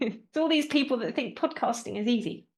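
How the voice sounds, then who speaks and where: Chatty female podcast co-host, teasing, quick to laugh, pitched a little high. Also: expressive. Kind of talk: casual podcast chat.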